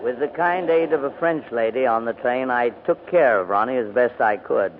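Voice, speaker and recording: A man acting an elderly, flustered English gentleman in 1940s radio drama, with a fussy, formal, sometimes stammering delivery. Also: medium pitch, moderate pace, close to an everyday voice. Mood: narrating, composed. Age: older. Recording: tinny, noisy old 1940s radio recording.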